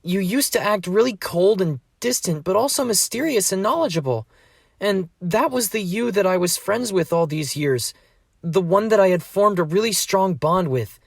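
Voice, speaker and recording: A man's voice: high voice, lively intonation, normal speed, creaky, no echo, clean studio sound, exaggerated voice. A man voicing an anxious, whiny thirteen-year-old boy in an amateur fandub, exasperated and hesitant. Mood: hesitant, regretful.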